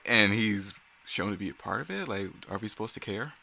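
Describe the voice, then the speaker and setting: A man with a fairly low but bright, forward voice talks through his own creative work. He rambles conversationally and is candid and self-critical, in loose commentary style. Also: lively intonation, a little fast, creaky. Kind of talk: casual off-the-cuff commentary.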